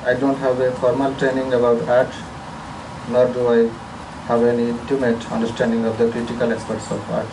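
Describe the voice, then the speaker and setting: A man's voice: medium pitch, monotone, brisk, recorded from a distance, noisy background. A man from India giving a formal, earnest self-introduction at a conference, speaking from a prepared statement in an auditorium.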